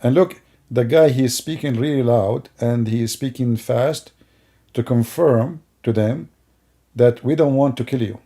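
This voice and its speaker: Gravelly, mocking middle-aged man. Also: medium pitch, measured pace, dark tone. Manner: mocking, rambling, with broken grammar. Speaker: commentator. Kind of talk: sarcastic commentary over video clips.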